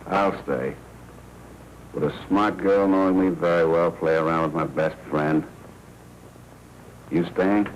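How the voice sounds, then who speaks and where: A noisy old film soundtrack carries a 1940s American noir mob boss. His voice is low, and he talks in tough slang with a menacing, needling, sardonic edge. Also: fairly even intonation, slow, pauses often, lo-fi sound. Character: menacing, sardonic crime boss, jealous husband.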